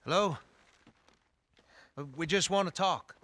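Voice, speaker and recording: An actor playing a middle-aged American man, a polite, soft-spoken survivor leader who talks in a hesitant, measured, placating way in a video game. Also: high voice, dry recording. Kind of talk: naturalistic video game acting.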